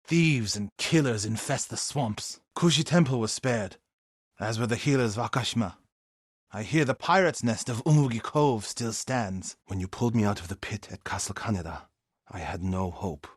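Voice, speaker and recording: An actor playing an earnest, devout and loyal warrior monk in a video game, husky and solemn, with formal, dramatic delivery. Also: male voice, on the low side, normal speed, no room sound, studio quality.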